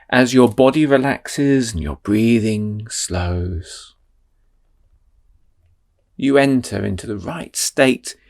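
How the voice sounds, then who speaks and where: A man leading a guided meditation in a slow, soothing, drawn-out way. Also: mid-range voice, creaky.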